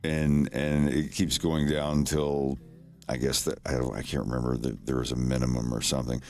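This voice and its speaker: A man's voice: a bass voice, little pitch movement, normal speed, a little mellow, faint music behind. A man chatting casually on his livestream, rambling and chuckling in a self-deprecating way, into a desk microphone at home. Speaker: streamer, animator.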